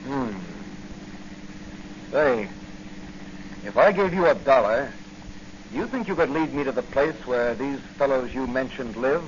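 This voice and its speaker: Man plays assured crime-fighting patrolman, brisk and inquisitive, medium pitch, old dull-sounding radio serial. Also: expressive, close to an everyday voice. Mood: easygoing, curious. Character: confident male patrolman and secret masked crime-fighter. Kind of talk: 1940s radio acting. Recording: dull, noisy 1940 radio recording.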